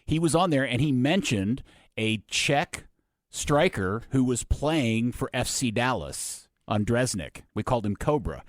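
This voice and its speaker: A man's voice: medium pitch, animated, measured pace, no echo, clean studio sound. A man co-hosting a podcast, telling a story in a friendly, chatty way. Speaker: podcast host. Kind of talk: casual podcast chat.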